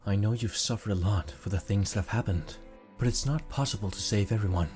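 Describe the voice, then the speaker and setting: A man plays a sincere young hero in a fan audio drama, his voice fairly low and steady as he speaks from the heart. Clear music swells behind him. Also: a little fast, natural voice. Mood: earnest. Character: earnest young man with ladybug brooches.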